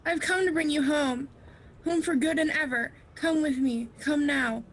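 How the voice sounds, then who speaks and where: A woman's voice: high-pitched, unhurried. A woman playing an eager, affectionate little sister in a theatrical radio play recorded over a video call. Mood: eager, loving. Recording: faintly noisy home video-call recording.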